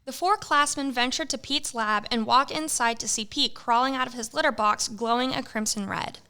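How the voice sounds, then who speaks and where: A woman narrating an amateur audio adventure in a playful, measured way. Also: a little high, sharp tone, clean tone. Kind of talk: scripted storybook narration.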